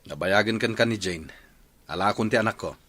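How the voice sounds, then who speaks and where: A man's voice: on the low side, fairly quick, sharp tone, no echo. A man acting a grumbling, demanding village husband and father in a radio drama, with an Ilocano accent. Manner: complaining, insistent.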